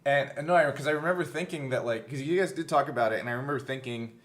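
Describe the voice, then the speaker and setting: Male game master, medium pitch, casual recap chat, animated storytelling with acted-out quotes. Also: quick pace. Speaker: game master recalling a show's first season. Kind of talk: casual behind-the-scenes chat.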